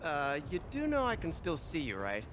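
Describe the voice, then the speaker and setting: An actor playing an upbeat, wisecracking young superhero in a video game, quippy and energetic. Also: male voice, a little high, normal speed, background noise. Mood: cheeky. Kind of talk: video game cutscene acting.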